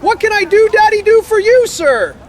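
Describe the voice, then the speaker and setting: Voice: very high-pitched, animated, moderate pace, some chatter behind, slight background noise. A performer voicing a cheery, overly polite ice cream vendor in an audio drama, with sing-song sales patter. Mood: chirpy, polite. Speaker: street ice cream seller.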